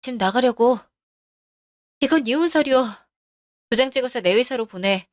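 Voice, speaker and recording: A woman reading a scripted radio story, calm, firm and measured. Her voice sits fairly high and is recorded dry and close to the mic. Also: no room sound.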